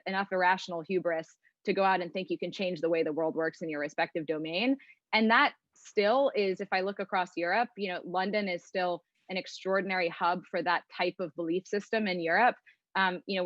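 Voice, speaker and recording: Low-voiced woman, dull close audio. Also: a bit bright, no room sound. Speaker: venture capital investor, panel guest. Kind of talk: conversational panel answers.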